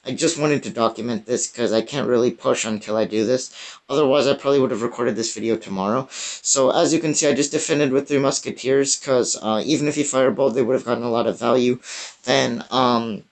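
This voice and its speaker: A young man talks through his game footage in a loose, chatty way, with plenty of ums and restarts. His voice is raspy and strained. He sounds like a gaming creator narrating his own match. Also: mid-range voice, fairly even intonation, fairly quick. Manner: casual, many fillers and restarts. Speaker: gaming YouTuber. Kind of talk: casual gameplay commentary.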